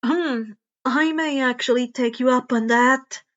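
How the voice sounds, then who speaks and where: A woman's voice: high-pitched, a little slow, a bit bright, muffled recording. A woman voicing an awkward, anxious but earnest teenage boy student who hesitates and stammers, in a story reading. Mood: tentative, interested.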